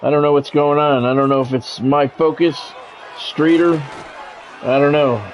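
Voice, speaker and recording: A man's voice: a little high, steady tone, moderate pace, a faint crowd, slight background noise. A man doing play-by-play of a football video game on a live stream, mixing in plain-spoken, grumbling chat. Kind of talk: sports play-by-play commentary mixed with casual stream chat.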